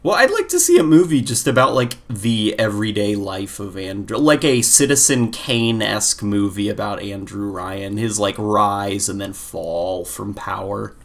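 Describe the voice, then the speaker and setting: A man's voice: fairly low pitch, animated, normal speed, vocal fry, hardly any pauses. Rambling male podcast co-host. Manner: rambling, peppers talk with "like". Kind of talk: casual chat about games and films.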